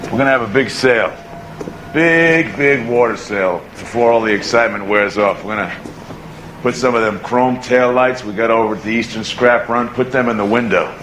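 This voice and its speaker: An actor playing an overbearing town boss in a screen drama, haranguing people with forceful rhetoric. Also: male voice, medium pitch, normal speed, natural voice. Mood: scheming. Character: overbearing bullying town boss rallying a mob. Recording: noisy film soundtrack with crowd.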